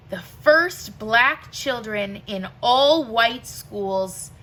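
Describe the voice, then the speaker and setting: A woman reading rhyming verse aloud for children in an expressive way, with deliberate, emphatic phrasing and pauses between phrases. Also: high voice. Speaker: teacher reading a picture book aloud.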